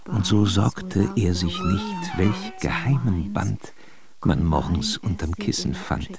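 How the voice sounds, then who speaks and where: Wry verse reciter, low voice, slow drawn-out lines, radio drama, music behind. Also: male voice, huge pitch swings, vocal fry, heavily acted. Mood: lyrical, wry.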